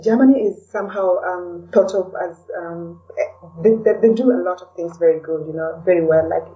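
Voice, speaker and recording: A woman's voice: lowish voice, normal speed, recorded from a distance, highs cut off. A woman from Kenya talking reflectively as an interview guest, in casual conversation, often tagging her sentences with "you know".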